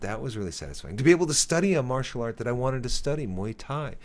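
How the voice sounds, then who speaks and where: A man's voice: mid-range voice, big pitch swings, fast, a little mellow. A man hosting a casual podcast, talking in an earnest, rambling way and often correcting himself mid-sentence. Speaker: podcast host.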